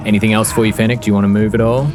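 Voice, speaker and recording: A man's voice: lowish voice, flat delivery, rapid-fire, music in the background. A man narrating a tabletop fantasy game as game master, with theatrical, descriptive storytelling.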